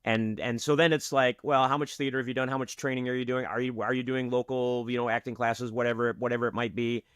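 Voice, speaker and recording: A man's voice: mid-range voice, flat delivery, quick pace, smooth, no room sound, studio quality. A man hosting an interview in casual chat, easygoing and agreeable, often chiming in with short replies. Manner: easygoing, agreeable, backchannels a lot. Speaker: interview host.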